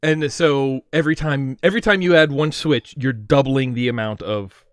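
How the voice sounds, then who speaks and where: A man hosting a casual livestream, talking and explaining things in a rambling, animated way. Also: medium pitch, normal speed, clean tone, dry recording, clean studio sound. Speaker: livestream host. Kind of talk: casual livestream chat with his mother.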